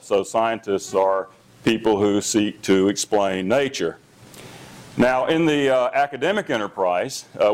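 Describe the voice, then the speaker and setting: A middle-aged man with a loud voice giving a public lecture as an engineering dean, pausing often with fillers and restarts. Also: fairly low pitch. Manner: hesitant, many fillers and restarts. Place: lecture hall.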